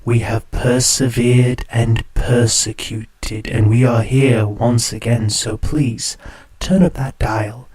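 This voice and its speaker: A man playing a sinister late-night spirit radio host with a hushed, whispery, theatrical way of talking. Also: mid-range voice, fairly even intonation, husky. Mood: sinister welcome. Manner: theatrical, drawn-out, creepily welcoming. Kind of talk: horror radio drama.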